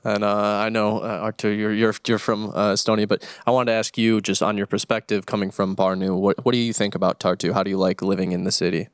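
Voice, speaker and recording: A man hosts a student discussion round in a fairly low voice. He asks questions in a friendly, encouraging way. The sound is dry and close. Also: flat delivery, fast, hardly any pauses, clean tone, dry recording. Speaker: host. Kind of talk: hosting a student discussion stream.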